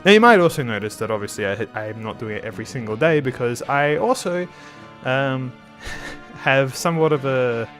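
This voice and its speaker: Man, average pitch, a bit nasal from a cold, rambling casual talk, soft guitar music underneath. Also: lively intonation, normal speed. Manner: rambling, hesitant, many restarts. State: slightly sick. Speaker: art channel host. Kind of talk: casual commentary over a speed drawing.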